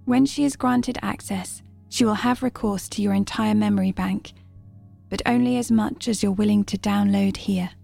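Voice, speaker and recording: Calm, very creaky clinical machine guide. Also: female voice, medium pitch. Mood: gently composed. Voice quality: creaky, soft-toned. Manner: measured, formal, composed. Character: calm, clinical artificial guide to stored memories. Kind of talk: restrained audio drama acting. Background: music.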